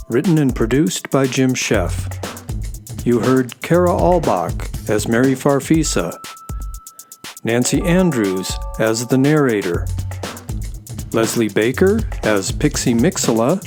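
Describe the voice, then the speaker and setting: A man's voice: mid-range voice, darkish tone. A man announcer reading scripted closing credits for a children's radio series.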